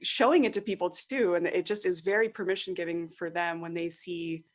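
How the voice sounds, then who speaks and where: A woman's voice: on the low side, fairly even intonation, fairly quick. A woman talking casually as an interview guest, animatedly acting out imagined remarks as quotes. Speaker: podcast guest, online course creator and tutorial maker.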